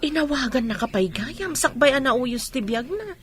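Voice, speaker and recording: A woman's voice: high-pitched, big pitch swings, moderate pace. A woman playing a sympathetic confidante in a Filipino radio comedy drama, speaking with a Filipino accent. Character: sympathetic female confidante of a widow.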